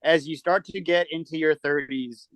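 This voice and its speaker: A man's voice: fairly high pitch, steady tone, moderate pace, fairly bright tone, no room sound, poor audio. A man in his thirties, co-hosting a casual podcast chat, talking candidly and swearing now and then. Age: 30s. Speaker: podcast co-host.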